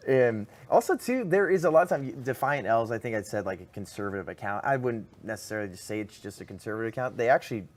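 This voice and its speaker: Casual, animated man hosting a live panel. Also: mid-range voice, fast talker, hardly any pauses. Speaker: host. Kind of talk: live panel talk show.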